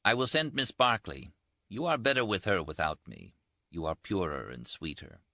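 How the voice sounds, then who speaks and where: A man voicing a brash, teasing young Italian army surgeon in an audiobook, quick and boastful. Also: deep voice, sing-song, creaky, bright, forceful tone, no echo, highs cut off, exaggerated voice. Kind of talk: audiobook character voice.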